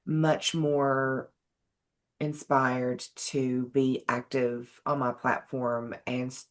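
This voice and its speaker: A woman with a Southern American accent, speaking earnestly and in a measured way in a casual conversation. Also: rumbling low. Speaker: co-host, advocate.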